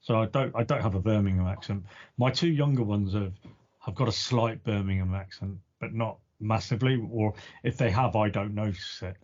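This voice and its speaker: A man with a neutral English Midlands accent chatting easily on a podcast, thinking aloud with pauses. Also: fairly low pitch, darkish tone. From East Midlands, England. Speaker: podcast co-host. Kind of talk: casual chat. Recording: dull lo-fi podcast audio.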